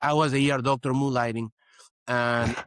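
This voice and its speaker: A man's voice: mid-range voice, fairly even intonation, moderate pace, fairly bright tone, clean tone, dry recording. A middle-aged man from Peru, a guest physician in an interview, explaining earnestly and often saying "you know". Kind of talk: interview conversation.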